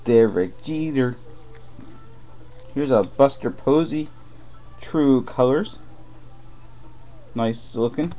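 A man's voice: medium pitch, takes it slowly, pauses often, dark tone, faint music behind. A man giving muttered play-by-play while opening packs of trading cards during a live break. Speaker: card break host. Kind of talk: live card break commentary. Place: room with boxes on a desk. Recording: faint wrapper crinkling behind.

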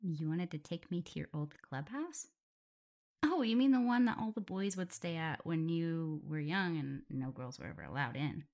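A woman's voice: deep voice, expressive, moderate pace. A woman playing a shy, caring, self-doubting young werewolf in an intimate ASMR roleplay, speaking hesitantly. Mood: gentle, curious. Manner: hesitant, stammering, soft, breathy whispers at times.

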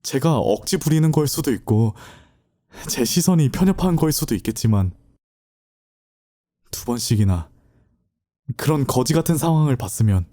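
A man's voice: mid-range voice, warm tone. A man playing an earnest, dutiful young student in an audio drama, speaking politely and a little hesitantly. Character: earnest, dutiful young male student, burdened but resolute. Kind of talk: Korean audio drama acting.

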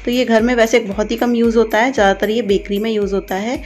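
A woman's voice: fairly high pitch, level tone, fast, a little mellow. Woman explaining, music behind her. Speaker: cooking channel host. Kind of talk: recipe voice-over, step-by-step instruction.

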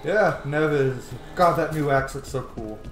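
A man's voice: fairly high pitch, measured pace, background noise. A man in his thirties hosting a casual, sweary let's play from a room at home. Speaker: gaming channel host. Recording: echoey room, game music behind. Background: game music.

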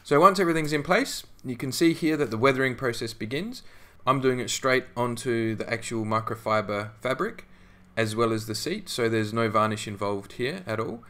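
A man narrating a scale modelling tutorial as a voice-over, relaxed and conversational with frequent ums and restarts. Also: mid-range voice, moderate pace, few pauses.

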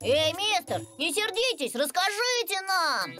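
A woman's voice: very high voice, very animated, measured pace, exaggerated voice. A woman voicing a cheeky, whiny little boy in a dubbed children's cartoon, childish and pleading. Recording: loud music behind the voice.

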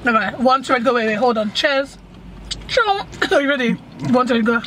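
A woman's voice: a little high, normal speed, a bit bright, slight background noise. A young woman chatting playfully with a friend while filming a vlog inside a car, laughing and teasing. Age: young adult. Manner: playful, sings along to music, laughs often. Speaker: vlogger. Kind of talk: casual car vlog chat. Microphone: dashboard camera. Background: music playing in the car.

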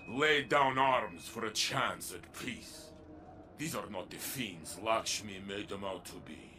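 An actor playing a noble armored robot warrior, earnest and declamatory, in a dramatic video game. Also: male voice, mid-range voice, pushed and bright, a little hiss or hum. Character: noble armored robot warrior, fervent protector, warm to allies.

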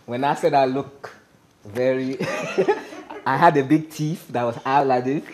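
A man's voice: mid-range voice, measured pace, crowd noise. A man with a Nigerian accent, a stand-up comedian talking casually as a guest in a TV studio interview. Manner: animated, gestures a lot, asks "you understand?". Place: TV studio set.

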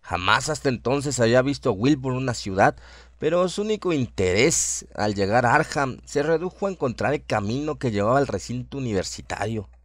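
Male narrator for horror radio fiction, mid-pitched, measured literary storytelling. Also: animated, no echo.

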